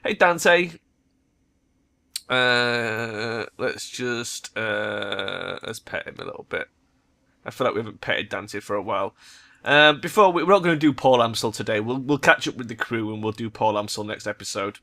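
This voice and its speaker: A man hosting a let's play, chatting casually about the game, his voice slightly croaky. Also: on the low side, animated, moderate pace, bright, forceful tone. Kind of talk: casual game commentary mixed with reading dialogue aloud.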